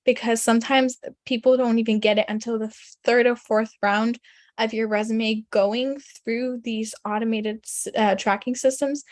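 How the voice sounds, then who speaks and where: Young female career coach, first language German, loose and chatty with many fillers, slightly high voice. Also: steady tone, moderate pace, no room sound. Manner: chatty, lots of fillers, frequent throat clearing. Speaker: career coach presenting a resume webinar. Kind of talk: casual webinar presentation.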